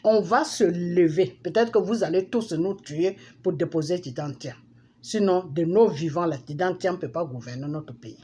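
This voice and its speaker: A woman's voice: lowish voice, normal speed. Scolding Ivorian woman, noisy recording. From Ivory Coast. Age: middle-aged. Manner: forceful, scolding, repeats phrases for emphasis. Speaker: political commentator. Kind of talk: impassioned political monologue addressed to public figures. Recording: noisy amateur recording with background ambience.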